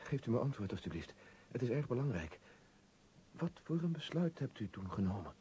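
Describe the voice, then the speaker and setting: A man's voice: mid-range voice, big pitch swings, a little fast, warm tone, dull audio, a little hiss or hum, lightly acted. An actor in a radio play voicing an earnest young man, urgent and intense, with a declamatory delivery. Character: earnest young man weighing his future selves, urgent. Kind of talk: radio drama acting.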